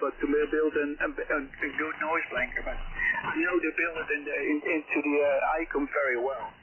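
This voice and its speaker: A man talking casually and haltingly as an amateur radio operator over a ham radio transmission. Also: fairly high pitch. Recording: tinny, narrow shortwave radio with clear static.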